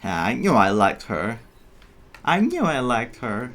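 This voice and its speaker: A man's voice: medium pitch, very animated, a little slow, fairly bright tone. A man giving casual, playful playthrough commentary as a gaming streamer, reacting aloud.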